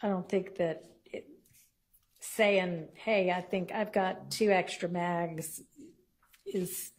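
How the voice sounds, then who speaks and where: A woman's voice: lowish voice, steady tone, slow pace. A woman judge speaking from the bench in a courtroom, plain-spoken and matter-of-fact in an informal way. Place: large courtroom.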